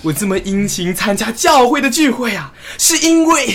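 A woman playing an eager, naive and boastful churchgoer in a radio drama, speaking in a showy, drawn-out way. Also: on the high side, very animated. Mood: sheepish, confiding. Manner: showy, drawn-out, singsong counting off. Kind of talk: scripted Mandarin radio drama acting.

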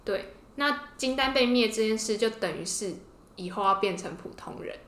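A woman's voice: on the high side, normal speed, a bit bright, a little hiss or hum. A woman with a Taiwanese Mandarin accent hosting a podcast, telling and explaining a story in a casual way. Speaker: podcast host. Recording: roomy, echoing podcast room.

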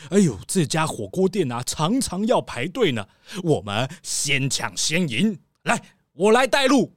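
A man playing a chatty, excitable town mayor in a children's radio drama, exclaiming and stretching words. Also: a little high, no echo, studio quality. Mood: eager. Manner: exclaiming, drawn-out words, gossipy.

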